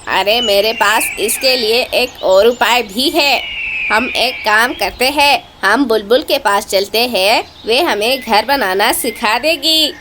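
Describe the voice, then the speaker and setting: Woman voicing a high-pitched lazy cartoon crow. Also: slow, noisy background. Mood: eager. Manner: exclamatory, urging. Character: lazy, persuasive male crow leading the birds. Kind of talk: Hindi cartoon story dialogue.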